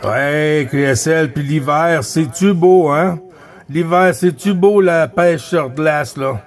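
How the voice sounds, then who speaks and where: Male CB radio operator, Quebec French accent, fairly high-pitched, chatty and friendly, loops back on phrases and call codes. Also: slow pace. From Quebec, Canada. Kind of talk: casual radio chat.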